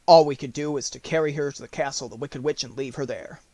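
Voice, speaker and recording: A man's voice: medium pitch, fast talker, mellow, dry recording, close to an everyday voice. A man voicing the leader of a band of winged monkeys in a storybook, formal, solemn and firm. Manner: formal, declarative pronouncements.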